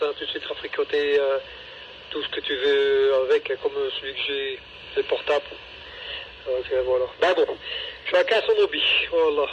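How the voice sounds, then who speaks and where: A man with a Belgian French accent chatting casually and colloquially over a two-way radio. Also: a little high. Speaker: amateur radio hobbyist. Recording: dull narrowband radio link with noise.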